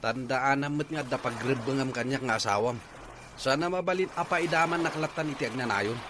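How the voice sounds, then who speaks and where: An actor with a Filipino Ilocano accent playing a sullen, work-shy, complaining husband in a radio drama. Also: male voice, medium pitch, a little fast, lightly acted. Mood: angry. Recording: noisy radio drama recording.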